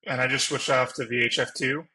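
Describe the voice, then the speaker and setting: Bright-voiced man with an even delivery. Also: medium pitch, fairly quick, gravelly. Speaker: pilot, podcast panelist. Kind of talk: casual podcast discussion.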